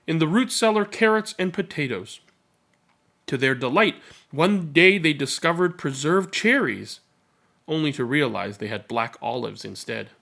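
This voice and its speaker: A man's voice: fairly high pitch, lively intonation, unhurried, creaky, fairly bright tone. A man who reads children's stories aloud and talks casually as host. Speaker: storybook reader and channel host. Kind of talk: children's read-aloud narration with casual commentary.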